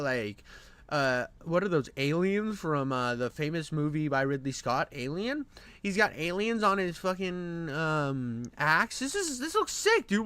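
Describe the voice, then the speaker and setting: A man giving casual reaction commentary as a host, rambling in crude slang with an expressive delivery. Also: on the high side, no room sound.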